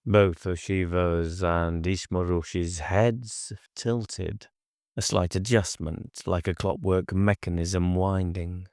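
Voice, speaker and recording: A man reading gothic horror fiction as an audiobook narrator, measured and deliberate in his storytelling. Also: low voice, fairly even intonation, a little mellow, no echo, clean studio sound.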